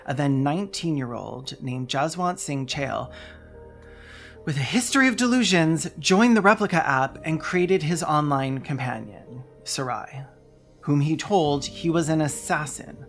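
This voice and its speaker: A video essayist with a mid-pitched voice reads scripted narration. The delivery is sardonic and theatrical, with dry humour, and music plays underneath. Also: fairly bright tone.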